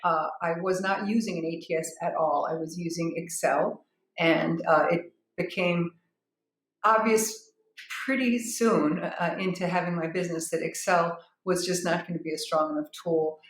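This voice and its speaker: A woman giving an unscripted spoken review as a business owner, frank and matter-of-fact, with halting phrasing and repeated words. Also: fairly low pitch, steady tone. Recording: roomy, echoing sound.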